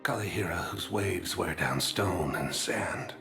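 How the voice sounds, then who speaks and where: A deep, gravelly alien assassin near death. He is weak and short of breath, speaking in halting, formal phrases with gentle devotion. Music plays behind him. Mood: weak, solemn. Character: dying alien assassin, gentle, devout, at peace.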